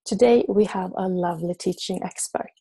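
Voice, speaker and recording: Mellow-voiced Swedish woman host. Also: lowish voice, normal speed, vocal fry, husky. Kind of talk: interview hosting.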